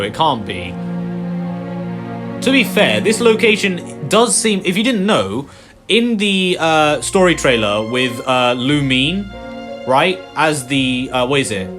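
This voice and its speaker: Man doing casual let's-play chatter, rambly and unsure, game music playing behind. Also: fairly high pitch. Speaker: gameplay commentator.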